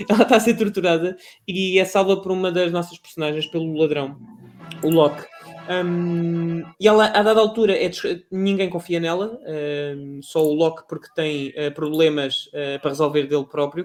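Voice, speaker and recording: A man with a European Portuguese accent talking casually about video games, animated and rambling, full of restarts and fillers. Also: a little high, normal speed, faint music behind. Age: adult. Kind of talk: casual gaming chat.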